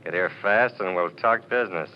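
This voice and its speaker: A cocky, menacing hoodlum from an old radio crime drama, voiced by a man, sneering in a low voice. His talk is tough and curt. The sound is dull and lo-fi, like an old broadcast. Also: normal speed, a little hiss or hum, natural voice. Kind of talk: 1940s radio acting.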